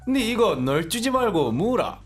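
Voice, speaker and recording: A man's voice: high-pitched, very animated, moderate pace, recorded from a distance, over music. A man co-hosting a quiz, reading out questions and repeating the players' answers back to them. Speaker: quiz co-host. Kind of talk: variety show quiz hosting.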